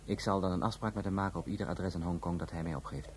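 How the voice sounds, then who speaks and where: An actor playing a formal, guarded drug supplier who speaks with measured politeness in a Dutch radio play. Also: male voice, deep voice, fairly even intonation, natural voice. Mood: businesslike. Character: courteous, guarded foreign drug supplier, a man. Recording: noisy radio drama recording.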